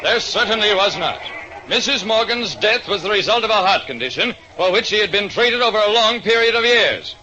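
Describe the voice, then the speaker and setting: A man's voice: sits high, expressive, brisk, pushed and bright, voice pushed up. A man voicing a stern, indignant family physician in a 1940s radio drama. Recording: dull lo-fi vintage radio.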